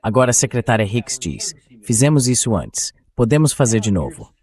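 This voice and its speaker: A man's voice: mid-range voice, sing-song, normal speed, a little mellow. Blunt Brazilian man giving sarcastic commentary. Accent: Brazilian Portuguese. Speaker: talk show host and political commentator. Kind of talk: opinionated news commentary.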